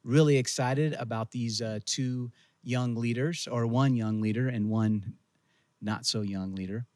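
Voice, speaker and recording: A man's voice: medium pitch, fairly even intonation, a little slow, a little mellow, no room sound. A middle-aged man hosting a church interview, asking upbeat, friendly questions. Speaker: pastor.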